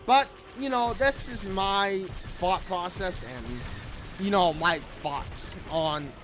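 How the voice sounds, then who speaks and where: A man's voice: sits high, slow, fairly bright tone, slight background noise. A man giving a casual, rambling game review, often circling back to repeat his points. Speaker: gaming video creator. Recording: music behind the voice. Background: background music.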